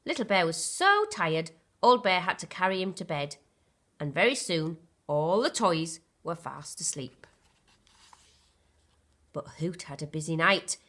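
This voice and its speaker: Animated, bright-voiced woman reading a story. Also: on the low side, measured pace. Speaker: primary school teacher reading to children. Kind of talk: expressive children's storybook narration.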